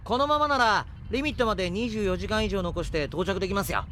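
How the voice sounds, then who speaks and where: An actor playing an excitable young spaceship navigator who talks in casual, eager junior slang in a Japanese audio drama. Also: male voice, sits high, quick pace, a little hiss or hum. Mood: breezy.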